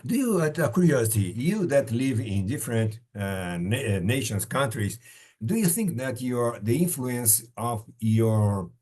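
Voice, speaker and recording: Halting man asking interview questions. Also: mid-range voice, mellow. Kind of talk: casual interview.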